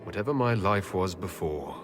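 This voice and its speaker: An actor playing a resolute, sombre Inquisitor, a plain-spoken reluctant hero in a video game. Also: male voice, low voice, animated, moderate pace, over music. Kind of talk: dramatic video game character acting.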